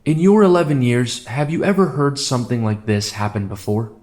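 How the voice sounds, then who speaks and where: A man's voice: mid-range voice, fairly quick, darkish tone, lightly acted. A man acting as a courtroom lawyer in an audio drama, questioning in a formal, persistent way. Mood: probing.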